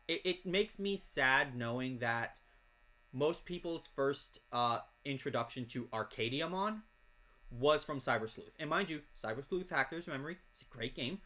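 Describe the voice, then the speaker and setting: A man's voice: mid-range voice, pushed and bright. A man chatting casually while opening card packs, rambling from topic to topic and reading off card names. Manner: reads off card names in quick lists. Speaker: card unboxing host. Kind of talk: casual unboxing chat.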